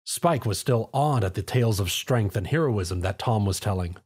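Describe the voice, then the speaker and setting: Animated male story narrator, husky. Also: fairly low pitch, moderate pace. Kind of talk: dramatic scripted story narration.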